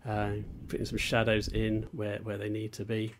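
A man's voice: on the low side, completely flat delivery, moderate pace, background noise. A man explaining an ink sketching demonstration outdoors on a beach, talking hesitantly with many fillers and restarts. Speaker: art teacher. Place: outdoors on a beach, seated on rocks.

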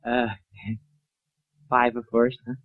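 A man's voice: mid-range voice, monotone, slow pace, lightly acted. An actor playing a skinny, nervous male drunk who stammers, wheedles and giggles, in 1940s radio drama style. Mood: wheedling, giggly. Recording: muffled 1940s radio recording.

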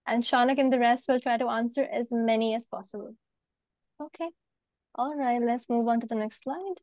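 A woman's voice: a little high, moderate pace, a little mellow, no room sound, clean studio sound. A woman moderating a webinar over a video call, giving orderly instructions to the audience. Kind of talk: scripted-sounding event moderation.

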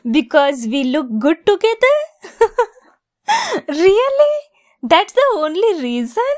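A woman's voice: very high-pitched, wildly swooping pitch, very slow, long pauses, no echo, studio quality, full character voice. A woman acting a giggly, teasing young woman fond of a longtime friend, in a romantic ASMR roleplay. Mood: amused, flustered.

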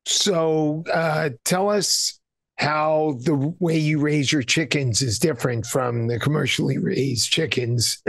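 Gravelly-voiced podcast host on a dry video call. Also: male voice, on the high side, steady tone, slow, fairly bright tone, no room sound. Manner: brief affirmations and questions. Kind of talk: casual interview over video call. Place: small room indoors.

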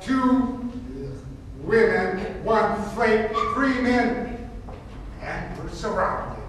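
Guy doing a stubborn grumbly puppet dad, talks slow, way off in an echoey hall. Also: high voice, animated, a bit bright, distant mic, slight background noise, exaggerated voice. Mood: complaining. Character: stubborn, grumbling father, puppet horror parody. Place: puppet show stage in small dark theatre.

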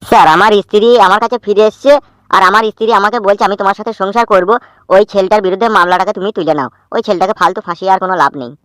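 Man playing high-pitched, pleading young cartoon husband. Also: very fast, bright, forceful tone, clean tone, no echo. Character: earnest, pleading young husband at a police station. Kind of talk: comic cartoon dialogue.

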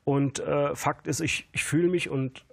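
A man's voice: a little high, steady tone, unhurried, vocal fry. A man in his forties, a photographer from Germany, giving earnest, hesitant answers with many fillers and restarts in a studio interview. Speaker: guest photographer.

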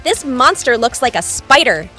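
Lively woman quiz host over music. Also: sits high, moderate pace. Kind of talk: scripted quiz narration.

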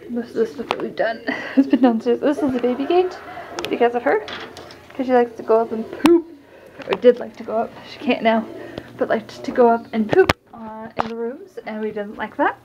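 A woman's voice: a little high, moderate pace. A young woman giving a casual, rambling tour of her house while filming on a handheld phone, with distracted asides as she walks from room to room. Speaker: vlogger. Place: house, indoors, walking room to room. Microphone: handheld phone camera at arm's length. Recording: muffled phone audio with handling noise.

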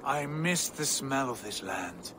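A man's voice: mid-range voice, animated, slow, noisy background. A man playing a proud, ambitious Viking warrior prince in a video game, grand and commanding in delivery. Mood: warm, ambitious. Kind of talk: dramatic video game acting.

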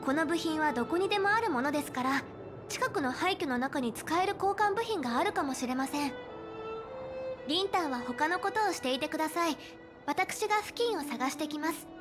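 A woman's voice: high voice, lively intonation, fairly quick, over music. A woman voicing a bookish, earnest young woman in an anime game, speaking politely and precisely. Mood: earnest, reassuring. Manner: polite formal speech, precise, studious.

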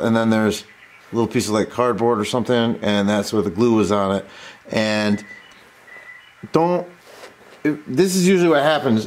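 A man giving a casual hands-on tutorial, rambling and interrupting himself as he goes. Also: mid-range voice. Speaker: host of a repair tutorial. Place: indoor workbench. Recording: noticeable steady background noise.

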